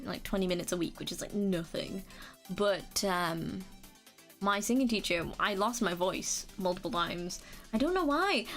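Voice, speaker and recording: A young woman, chatting casually on a live stream, rambling, restarting sentences and laughing now and then. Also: mid-range voice. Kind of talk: casual chat reading comments.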